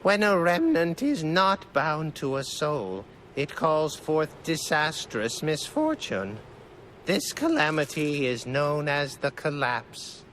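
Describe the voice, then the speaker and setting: A man acting a solemn, formal retainer in a video game, speaking in measured, archaic phrases. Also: a little high, animated, a bit bright, a little hiss or hum. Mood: solemn, explanatory. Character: solemn, formal male retainer explaining lore gravely.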